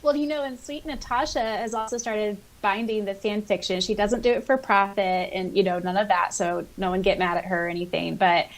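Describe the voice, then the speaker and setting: A woman with a medium-pitched voice talks as a podcast co-host. She is informal and enthusiastic, switching between casual chat and reading written comments aloud. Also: steady tone, a little fast, few pauses, rough recording.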